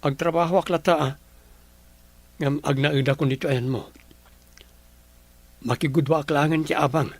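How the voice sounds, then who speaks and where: A man's voice: fairly high pitch, steady tone, normal speed, pauses often, no echo. A man with an Ilocano Filipino accent playing a troubled, earnest young man in a radio drama. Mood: earnest, subdued.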